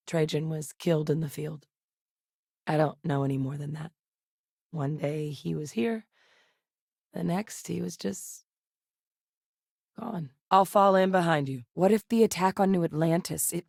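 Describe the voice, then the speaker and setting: A seasoned woman military scientist from a space sci-fi game. Her voice sits on the low side and is recorded dry and close. She speaks in measured, earnest lines with crisp authority. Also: darkish tone, dry recording. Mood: subdued, reflective, then focused, professional. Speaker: video game voice actress. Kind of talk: scripted game character dialogue.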